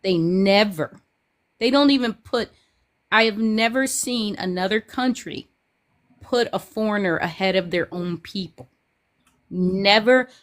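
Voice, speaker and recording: A woman from the Midwest calling in to a talk show, speaking emphatically and driving her points home. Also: mid-range voice. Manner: emphatic, opinionated, punchy endings. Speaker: caller. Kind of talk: casual call-in chat.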